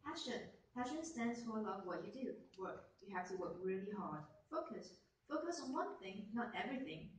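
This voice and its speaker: Young woman as radio-play adviser, non-native English, animated, echoey distant recording. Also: medium pitch, normal speed, close to an everyday voice. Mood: earnest. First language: not English. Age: young adult. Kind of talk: scripted student radio play. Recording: echoey, noisy, distant mic.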